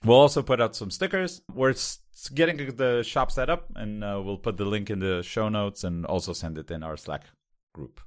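A man from Israel with an Israeli accent, co-hosting a casual podcast and talking in a halting way with many fillers and restarts. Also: on the low side. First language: Hebrew. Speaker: podcast co-host.

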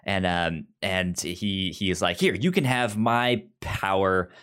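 Halting, casual male podcast host. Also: sits low, a bit bright, clean tone. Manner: halting, repeats and stumbles over words.

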